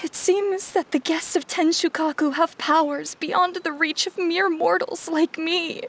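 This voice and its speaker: A melodramatic, despairing young writer. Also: female voice, extremely high pitch, fairly even intonation, a little slow, slight background noise. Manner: theatrical, wailing despair. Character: melodramatic young woman writer, easily crushed. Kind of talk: video game voice acting.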